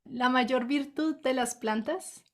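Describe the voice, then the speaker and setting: A woman interviewing a guest, keeping her questions short and adding quick, friendly prompts between the answers. Her voice sits a little higher than most. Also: a little hiss or hum.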